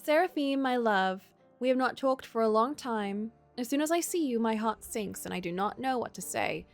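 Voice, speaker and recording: A woman's voice: a little high, lively intonation, moderate pace, creaky, soft background music. A woman voicing a lovesick, burdened young lord in a visual novel, reading out a poetic confession. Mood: wistful, tender.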